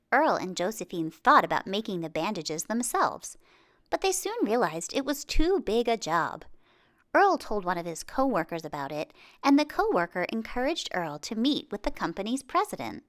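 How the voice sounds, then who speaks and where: Expressive, high-pitched woman reading a storybook. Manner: expressive, dramatic pauses and exclamations. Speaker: picture book read-aloud reader. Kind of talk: children's storybook narration.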